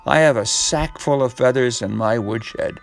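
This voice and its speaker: A man voicing a sly gentleman fox from a storybook, speaking in a formal, genteel, over-polite manner. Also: mid-range voice, a little slow, gravelly, faint music behind. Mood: suave, obliging. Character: sly, courteous gentleman fox with oily charm. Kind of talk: storybook character reading.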